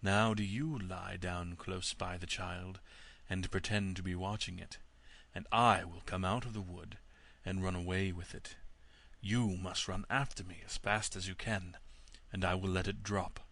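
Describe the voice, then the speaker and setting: A man voicing a sly storybook wolf who gives scheming advice in a slow, confiding drawl. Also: low-pitched, fairly even intonation, gravelly, fairly bright tone, dry recording, heavily acted. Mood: sly, reassuring.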